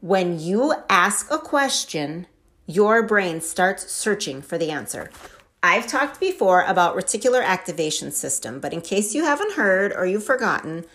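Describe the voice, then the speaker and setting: A woman's voice: mid-range voice, very animated, normal speed, fairly bright tone. A woman giving a casual motivational talk, chatty and enthusiastic, full of "like" and "right?". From Wisconsin. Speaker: podcast host.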